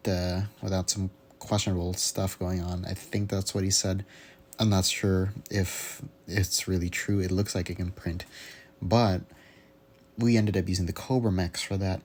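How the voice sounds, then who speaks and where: A young man giving a casual, unscripted audio log, rambling haltingly as he searches for words. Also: low-pitched. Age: young adult. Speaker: hobbyist vlogger.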